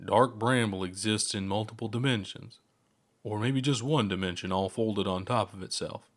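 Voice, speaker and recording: Guy reading as a calm old scientist, kindly, explains stuff carefully, lowish voice. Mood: serious, explaining. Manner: measured, explanatory. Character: calm elderly alien scientist, kindly and measured.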